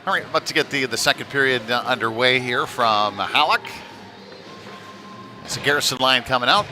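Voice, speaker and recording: A man doing live play-by-play of a youth hockey game, talking in a halting, conversational way with folksy slang. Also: medium pitch, expressive, sharp tone, soft background music. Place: indoor ice rink during a live game. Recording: noisy rink, clear background ambience. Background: rink noise and game ambience.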